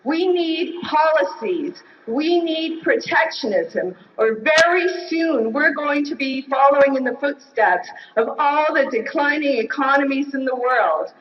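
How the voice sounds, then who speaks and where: A woman in her fifties speaks at a floor mic with a fairly high voice, earnest and building to forceful. The sound is distant and dull, with echo from a big hall. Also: animated, measured pace, hardly any pauses. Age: 50s. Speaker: union delegate running for president. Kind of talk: impassioned convention floor speech. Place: large convention hall. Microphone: floor microphone.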